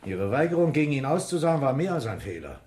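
Man playing gruff impatient police boss in a radio play, lowish voice jumping about, brusque, noisy echoey recording. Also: very animated, fast talker, a little mellow, lightly acted. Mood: reproachful. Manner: brusque, reproachful, incredulous. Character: male police chief, gruff, impatient, sceptical investigator. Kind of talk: 1970s German radio crime drama dialogue. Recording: roomy echo, clearly noisy radio recording.

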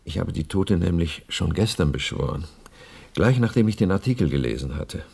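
An actor playing a grieving, eager widower in a radio play, brooding and commanding. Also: male voice, low voice, sing-song, normal speed, gravelly, a little mellow, slightly rough, full character voice. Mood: brooding, earnest. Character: grieving, eager widower bent on summoning his dead wife.

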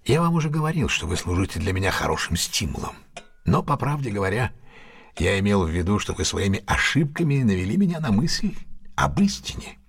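Male detective, audio drama: low, rough-edged, very expressive. Keen, didactic, faintly superior. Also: fairly quick, heavily acted. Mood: teasing, assured. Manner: didactic, theatrical, reasoning step by step. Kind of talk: Russian audio drama acting.

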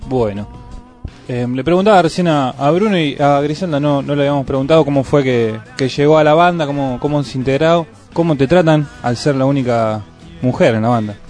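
A man with an Argentine Spanish accent hosting a casual radio interview, asking questions in a relaxed, halting way with repeated words. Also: medium pitch, soft background music. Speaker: radio host. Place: radio studio.

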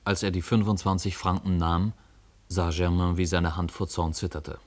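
A man's voice: deep voice, little pitch movement, normal speed. A man narrating a story for a radio play in scripted storytelling style.

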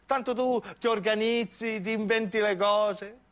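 A man performs a disappointed southern Italian florist in radio theatre. The voice is high and emphatic. He sounds bitter and aggrieved, like a wronged son. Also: steady tone, measured pace, fairly bright tone.

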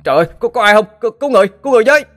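A man voicing a hot-tempered, foul-mouthed young fisherman, shouting and cursing. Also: lowish voice, steady tone, racing, lightly acted. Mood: panicked screaming.

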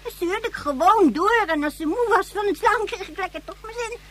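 An actress playing a bratty, callous child in a radio drama, petulant and blunt. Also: female voice, extremely high pitch, animated, a little fast. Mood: defiant, spiteful. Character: bratty, callous child leader, spiteful and defiant.

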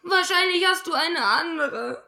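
A woman's voice: extremely high pitch, animated, measured pace, creaky, bright, forceful tone, echoey room, full character voice. An actress playing a bitter, jilted young woman in a radio drama, reproachful and agitated. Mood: hurt, reproachful.

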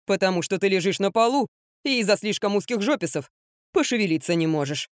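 A woman voicing a practical, good-natured older uncle in an audiobook, grumbling gently and gruffly. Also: mid-range voice, animated, dry recording, studio quality, lightly acted. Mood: good-natured, commanding. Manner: unhurried, gruffly good-humored.

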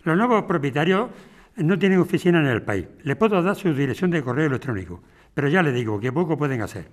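Man acting formal Spanish property agent, lightish pitch. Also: lively intonation, lightly acted. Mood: unyielding. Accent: Spain. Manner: formal, measured, businesslike, condescending. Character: smooth, unyielding male property company agent. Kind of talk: audio drama acting.

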